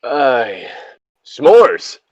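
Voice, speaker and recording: A man's voice: medium pitch, huge pitch swings, extremely slow, a little mellow, no room sound, muffled recording. A man reading a comic story casually, breaking off into jokey asides and banter. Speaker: co-reader of an internet story.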